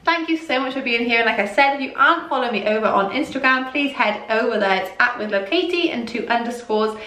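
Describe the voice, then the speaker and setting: A woman's voice: fairly high pitch, expressive, fast, bright, forceful tone, distant mic. Bubbly Welsh woman, roomy sound. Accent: slight Welsh. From Wales. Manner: chatty and bubbly, rambling asides. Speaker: fashion vlogger. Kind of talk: casual haul chat to camera. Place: small bright room indoors, in front of a door. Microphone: camera a few feet away. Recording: roomy sound, mic set back.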